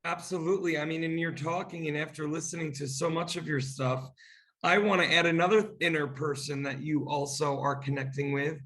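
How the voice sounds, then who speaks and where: High-voiced, eager interview host. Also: male voice, steady tone, a little fast, fairly bright tone. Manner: effusive, praising, eager. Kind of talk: enthusiastic interview hosting.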